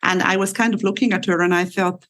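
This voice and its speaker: A woman with a lowish voice hosts a conversational podcast interview. She talks in a chatty way and puts friendly questions to her guest. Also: fairly even intonation, quick pace, a bit bright. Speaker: podcast host.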